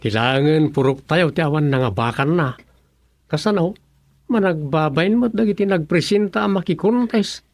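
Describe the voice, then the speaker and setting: A man's voice: a little high, moderate pace, lightly acted. A man acting a confident village man in a radio comedy, with an Ilocano accent. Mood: matter-of-fact.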